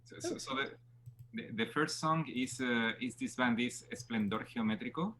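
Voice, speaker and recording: A woman's voice: very deep voice, normal speed, fairly bright tone, a little hiss or hum. A Spanish-speaking woman musician speaking as a guest, hesitant and repeating words as she introduces a song. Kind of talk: casual chat.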